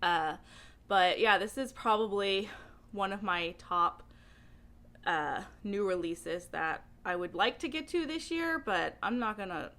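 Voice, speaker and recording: A woman talking casually to camera as a book vlogger, with an animated, emphatic way of speaking. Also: mid-range voice, measured pace.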